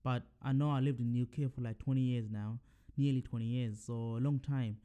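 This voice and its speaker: A young man born in Zimbabwe talks loosely into his own podcast mic, his voice mellow and smooth. He wanders and restarts, with plenty of ums and quick corrections. It sounds like a relaxed solo chat. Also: mid-range voice. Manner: rambling, many fillers and self-corrections. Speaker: podcast host. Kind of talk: casual solo monologue.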